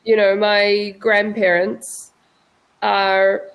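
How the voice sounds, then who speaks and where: A woman with a New Zealand accent tutoring English over a video call, speaking patiently and clearly for a learner. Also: mid-range voice, completely flat delivery, extremely slow, dull audio. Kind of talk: casual video-call conversation.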